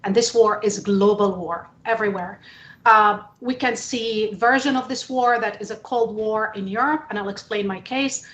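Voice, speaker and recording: A woman from the Middle East speaking emphatically and deliberately as a journalist on a panel about politics. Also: medium pitch, fairly even intonation, fairly bright tone. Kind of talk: panel testimony, impassioned political talk. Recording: echoey room.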